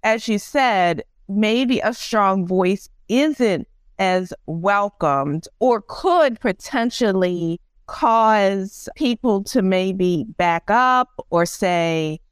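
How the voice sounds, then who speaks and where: Slow-talking woman host, lively pitch. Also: smooth, no echo, studio quality. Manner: warm and encouraging, laughs easily, drawn-out questions. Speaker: podcast host. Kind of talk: casual interview talk.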